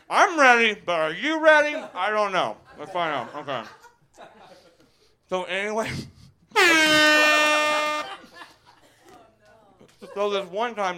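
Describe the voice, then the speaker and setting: A man's voice: high voice, noisy background. A man in his twenties doing stand-up on a live stage, stuttering and laughing at his own jokes. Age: 20s. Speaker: stand-up comedian. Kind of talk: stand-up set.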